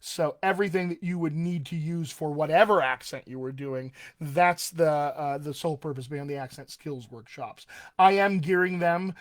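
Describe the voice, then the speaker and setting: A man's voice: a little high, moderate pace. A man, a dialect coach, talking casually in a live stream, friendly and chatty with frequent ums and uhs. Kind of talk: live-streamed solo talk.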